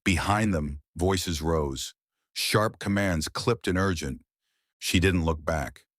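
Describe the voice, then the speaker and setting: A man reading a psychological thriller audiobook with tense, dramatic pauses. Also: sits low, fairly bright tone, no room sound, clean studio sound. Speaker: audiobook narrator. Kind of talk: scripted thriller narration.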